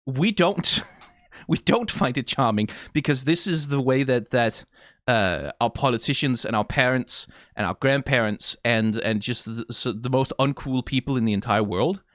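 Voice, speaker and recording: A young man speaking English with a strong Danish accent in a relaxed reaction video. His pitch sits in a middling range, and the recording is close and dry with no room sound. He is wry and playful, and he drops swear words for emphasis. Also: normal speed, dry recording. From Denmark (Funen). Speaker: YouTuber reacting to a game trailer. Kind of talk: casual commentary.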